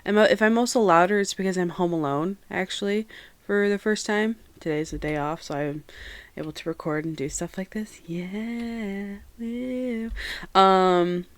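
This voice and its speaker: A woman's voice: mid-range voice, a little slow, dry recording. Chatty young woman with a head cold. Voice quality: nasal. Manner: chatty, rambling, self-aware about yapping. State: stuffy head cold, nasal. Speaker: podcast host. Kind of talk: casual solo podcast chat. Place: own room at home.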